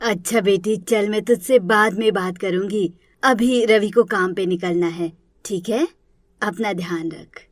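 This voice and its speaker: A woman voicing an affectionate, homely older mother in an animated moral story. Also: mid-range voice, unhurried, dry recording, pitched below the performer's own voice, close to an everyday voice. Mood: gentle. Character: doting older mother and mother-in-law, tender, homely.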